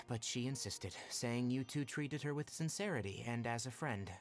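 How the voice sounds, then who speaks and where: A man voicing a composed, frank, protective young forest ranger in an anime video game, speaking in a measured, articulate way. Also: medium pitch, expressive, soft background music, natural voice. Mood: earnest. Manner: measured, articulate, matter-of-fact.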